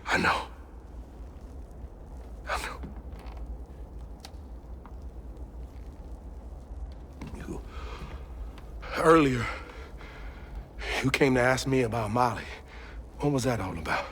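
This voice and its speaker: An actor playing a grieving, guilt-ridden man with a Southern US accent, speaking haltingly in a video game cutscene. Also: medium pitch, fairly bright tone, slight background noise. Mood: subdued concern. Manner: halting, heavy, confessional. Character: grieving, haunted man burdened by guilt and shame.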